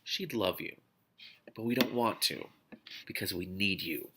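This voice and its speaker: A man's voice: fairly low pitch, a little slow, background noise, pitched below the performer's own voice. A man voicing a blunt, wisecracking male field agent from a novel, speechifying in emphatic slang. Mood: urgent, frank.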